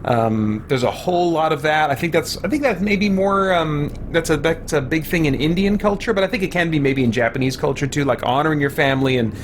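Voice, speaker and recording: A middle-aged man giving casual live let's-play commentary, musing and thinking aloud in halting asides. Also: a little high, a little hiss or hum. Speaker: game streamer. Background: game music.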